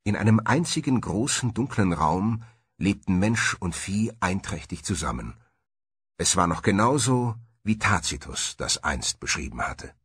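A man reading scripted documentary narration in a measured, formal manner. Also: low voice, big pitch swings, fairly bright tone, breathy edge, no room sound, studio quality.

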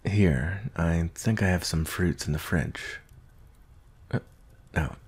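A man playing a gruff but tender werewolf bounty hunter in an ASMR roleplay, soft-spoken and gentle, with hesitant pauses. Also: heavily acted. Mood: gentle, soothing. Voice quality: very deep, gravelly, husky.

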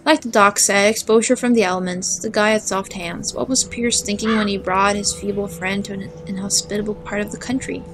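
Blunt small-town innkeeper, read by a woman, plain reading, middle pitch. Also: steady tone, fairly quick, hardly any pauses, music in the background, lightly acted. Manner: read plainly in her own voice.

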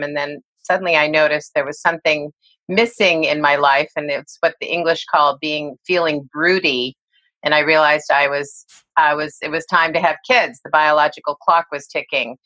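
An older woman, a guest expert, telling her story in a reflective, measured way during an interview. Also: sits low, pushed and bright, no room sound, low-quality recording.

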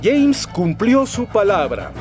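A man's voice: high voice, big pitch swings, slow pace, heavily acted. A man with a Latin American accent giving scripted children's storybook narration. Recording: music bed behind narration.

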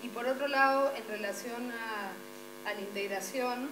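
A woman's voice: on the high side, unhurried. Peruvian woman questioning a panel, echoey. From Peru. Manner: questioning, challenging, thinks aloud. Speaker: audience member asking a question. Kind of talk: question at a public debate. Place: meeting room. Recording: echoey room with mains hum.

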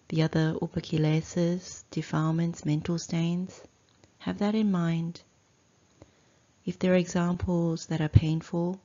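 A woman teacher leading a guided meditation in a measured, deliberate way, breaking her sentences into short phrases with long pauses. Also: on the low side, mellow, lo-fi sound. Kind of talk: slow guided meditation instruction.

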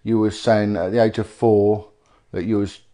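Halting man with a cold. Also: on the low side, flat delivery, mellow. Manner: halting, many fillers and restarts. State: has a cold. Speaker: interviewer, former police detective. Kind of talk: sit-down interview. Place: living room, indoors. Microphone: lapel mic.

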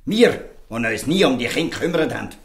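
An earnest fisherman in a Swiss German radio play. His mid-pitched voice rises and falls in lively swings as he argues with defiant, emphatic conviction. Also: fast, gravelly. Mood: indignant, pleading. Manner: emphatic, rhetorical pleading. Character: earnest, defiant fisherman defending outcast children. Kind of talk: audio drama dialogue in dialect.

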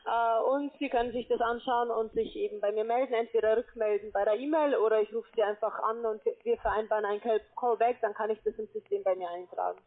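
A woman's voice: on the high side, steady tone, fast, hardly any pauses. A woman making an informal sales call as a call-centre agent, polite but hesitant and rambling. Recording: muffled lo-fi phone line.

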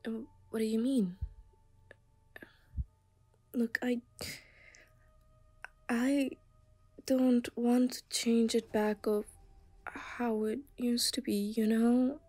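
A woman voicing an obsessive, self-aware anime schoolgirl in an ASMR roleplay, soft and often whispering, shy, hesitant and stammering as she pleads. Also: fairly high pitch, flat delivery, drawn-out words, long pauses, no room sound. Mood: hesitant, apologetic.

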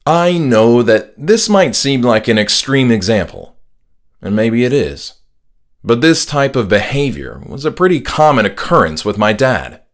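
A man's voice: medium pitch, sing-song, unhurried. A man reading his own horror story aloud as a scripted first-person audiobook narration.

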